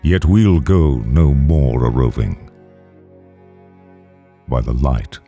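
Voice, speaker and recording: A man giving a solemn poetry recitation with grave, measured phrasing. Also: extremely low pitch, animated, dark tone. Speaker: poetry reader. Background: faint string music.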